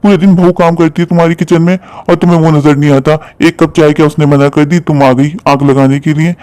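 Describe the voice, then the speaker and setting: A selfish, irritable grown son voiced by a man in a cartoon tale. He scolds impatiently at a quick clip, in a very dry recording. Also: fairly high pitch, fairly even intonation, a little mellow, clean tone, no echo, natural voice. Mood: angry, scornful. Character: callous, irritable grown son resenting his mother.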